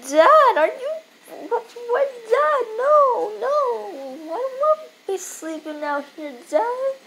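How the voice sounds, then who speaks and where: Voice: very high-pitched, sing-song, slow pace, fairly bright tone, slight background noise, heavily acted, rough recording. A young performer playing a scared little boy who whimpers and pleads with his father in a homemade skit. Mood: pleading.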